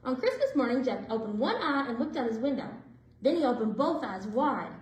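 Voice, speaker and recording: A woman with a high voice narrates a picture book for children. Her delivery swings up and down expressively, with deliberate phrasing. The room adds a clear echo. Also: very animated, darkish tone, slight background noise. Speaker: librarian reading stories aloud for children. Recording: roomy indoor echo.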